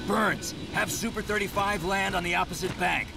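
An actor playing a cocky action-hero soldier in a video game, with a gravelly voice and a wry, terse delivery. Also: male voice, sits high, fairly even intonation, moderate pace, background noise. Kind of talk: video game cutscene acting.

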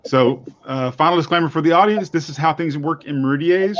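A man's voice: on the high side, fairly quick, fairly bright tone. A man hosting a panel show from home over a video call, chatty, rambling and self-deprecating. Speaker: host. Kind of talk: casual chat. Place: home room. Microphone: webcam on video call. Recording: slightly muffled video call audio.

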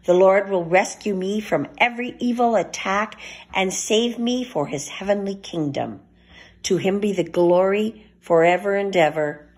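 A woman reading scripture aloud for a church service in a measured, formal way. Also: mid-range voice, a little mellow, husky. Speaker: scripture reader.

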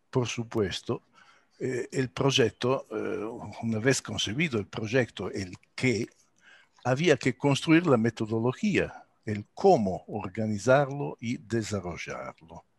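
An older man with an Italian accent, a native Italian speaker, giving a formal prepared speech as a psychoanalyst, measured and careful with hesitant fillers, on a headset mic over a video call from a home study. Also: medium pitch, no echo.

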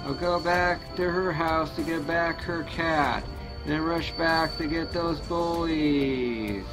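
A brash, confident flying superhero who announces everything loud and emphatic, as a man voices him. The voice is high and gravelly with a slow pace, and music plays clearly behind. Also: rough recording. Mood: caring. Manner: loud, emphatic heroic declarations.

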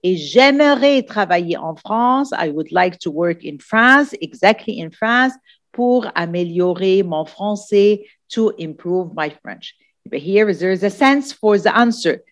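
Female language tutor, unhurried, repeats key phrases over a screen-share lesson. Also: mid-range voice, lively intonation. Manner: repetitive, checks in with "d'accord?" often. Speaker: French teacher. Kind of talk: exam-prep lesson explaining in English and French. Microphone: voice-over on screen recording.

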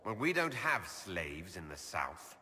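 Earnest elf leader, probing questions, lively delivery, echo tail. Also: male voice, low voice, slow, a little hiss or hum, heavily acted. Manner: direct, questioning, skeptical. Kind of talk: video game dialogue.

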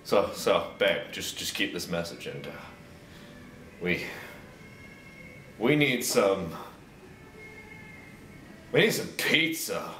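A lovesick, drunken young man played by an actor with a low, very slow voice. He slurs and repeats himself with overwrought melodrama, like a comic voicemail. The recording is roomy and distant. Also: sing-song, fairly bright tone, faint music behind, full character voice. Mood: drunken rambling. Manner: slurred, halting, repetitive, overwrought. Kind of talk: comedic rambling voicemail monologue.

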